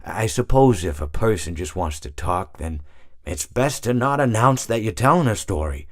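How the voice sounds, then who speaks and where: Game role: aged hippopotamus animatronic. Meandering, hesitant, mild-mannered storyteller, very animated intonation, recorded close and dry. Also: male voice, fairly low pitch, normal speed, dry recording. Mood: meandering reminiscence. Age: older. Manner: rambling, hesitant, absent-minded, self-correcting. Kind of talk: character monologue for a video game.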